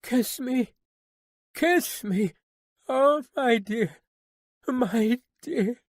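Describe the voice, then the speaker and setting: A tender young daughter who begs and soothes in a raised, earnest voice, as a woman reader puts her on. She lingers on each slow, imploring repeat. The sound is close and dry. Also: on the high side, no echo, voice pushed up. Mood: pleading. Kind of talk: audiobook character reading.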